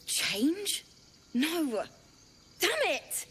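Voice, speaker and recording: An actress playing a dreamy, frustrated young female bard in a fantasy game, speaking haltingly. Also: high-pitched, sing-song, fairly bright tone, heavily acted. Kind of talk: video game voice acting.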